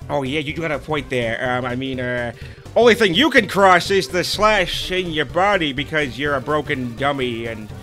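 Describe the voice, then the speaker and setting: A man's voice: on the high side, normal speed, bright, forceful tone, faint music behind. A man voicing a cocky, foul-mouthed wisecracker in a comedic game dub, with a casual mocking manner. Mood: exasperated.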